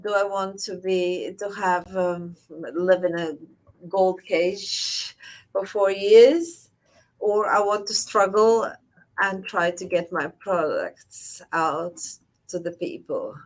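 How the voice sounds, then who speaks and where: A woman from Latvia, a surgeon, speaking as an interview guest in a halting way, repeating words as she goes. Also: fairly low pitch, low-quality recording. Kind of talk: interview conversation.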